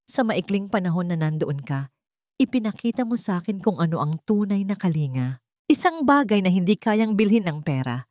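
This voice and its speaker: A woman's voice: mid-range voice, sing-song, moderate pace, darkish tone, no echo, studio quality, close to an everyday voice. A woman voicing a remorseful wealthy man in a romance story, pleading and confessing dramatically. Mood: tender confession.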